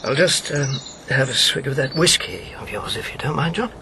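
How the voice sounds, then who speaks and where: An actor playing a sardonic, blunt older former wartime officer in a radio drama, dry and articulate. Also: male voice, medium pitch, animated, normal speed, noisy background, close to an everyday voice. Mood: casual. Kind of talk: naturalistic radio drama acting.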